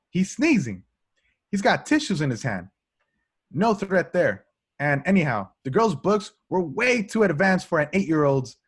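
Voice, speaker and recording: Cocky young recruit, played by a man, lively and husky. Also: on the high side. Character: cocky, street-smart young police recruit, defiant, wisecracking. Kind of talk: amateur film script table read. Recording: home recording, remote reading.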